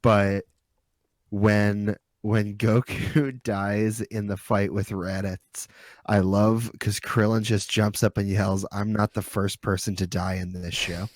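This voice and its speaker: A man's voice: fairly low pitch, flat delivery, slow pace, dry recording. A man chatting casually as a podcast co-host, with wry, joking asides. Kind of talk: casual group chat about pop culture.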